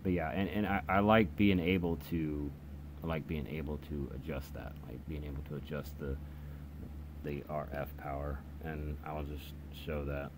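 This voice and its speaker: Very deep-voiced man, noisy recording. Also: fairly even intonation, normal speed. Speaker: hobbyist radio reviewer. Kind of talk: casual product demonstration. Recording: noisy home video recording. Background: clear background noise.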